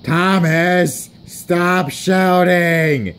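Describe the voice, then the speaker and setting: A man voicing an anxious, shouty young male tank engine in a comic storybook parody, complaining loudly. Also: high-pitched, drawn-out words, clean tone, voice pushed up, exaggerated voice. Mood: upset.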